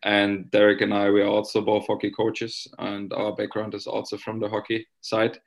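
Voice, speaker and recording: Finnish-accented male co-host, low gravelly voice, halting and searching for words. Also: completely flat delivery. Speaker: podcast co-host. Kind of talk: casual podcast interview.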